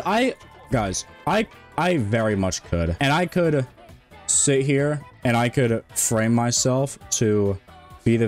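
Low-voiced, monotone male streamer. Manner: monotone, rambling, many restarts. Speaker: game streamer. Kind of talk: casual stream chat.

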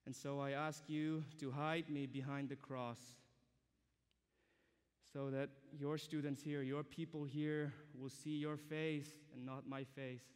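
Young preacher, high-pitched male voice. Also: level tone, takes it slowly, smooth. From Myanmar. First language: a language of Myanmar. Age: young adult. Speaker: guest preacher. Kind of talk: sermon to a student assembly. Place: large indoor auditorium stage. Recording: reverberant hall.

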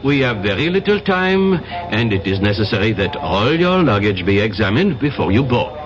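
An actor in a 1970s radio drama playing a formal police inspector, courteous and hesitant. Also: male voice, lowish voice, very animated, normal speed. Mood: polite, firm. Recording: dull vintage radio recording.

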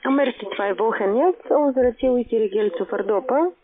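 High-pitched woman on a tinny phone line. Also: normal speed, a crowd in the background. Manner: non-native German with broken grammar. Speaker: call centre agent, sales caller. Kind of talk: sales phone call. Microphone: telephone.

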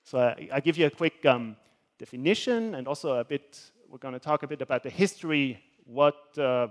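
A young man from Switzerland gives a guest lecture in a university hall. His voice sits slightly high, and he fills his pauses with hesitant "uh"s as he walks about the stage. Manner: hesitant, many filler sounds; gestures while speaking, walks around the stage. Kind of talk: academic talk with slides.

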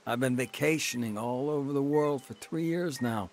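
A man's voice: medium pitch, moderate pace, a little mellow, slight background noise, close to an everyday voice. An actor with an American accent playing a wary, hard-bitten man on the run in a radio drama, terse and wry. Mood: guarded, wry. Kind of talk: radio drama acting and first-person narration.

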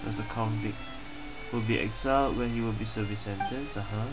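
A woman's voice: rumbling low, fairly even intonation, a little fast, low-quality recording. A woman giving casual gameplay commentary, murmuring reactions and reading bits of the game aloud. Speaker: gaming streamer. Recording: game music behind the voice.

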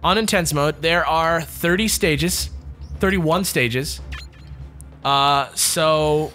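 A man's voice: fairly high pitch, takes it slowly, pushed and bright. A young American man co-hosting a let's play, chatty and teasing, sharing trivia while playing. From United States. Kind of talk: casual gaming banter. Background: game music.

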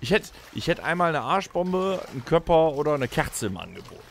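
A man's voice: fairly high pitch, sing-song, fairly quick, creaky, a little hiss or hum. A man co-hosting a comedic audio-drama podcast, grumbling in a dry, sarcastic way. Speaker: podcast co-host.

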